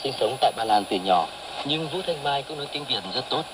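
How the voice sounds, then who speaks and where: A man narrates a scripted radio piece at a quick pace. His medium-pitched voice is distant amid clear shortwave noise. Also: lively intonation.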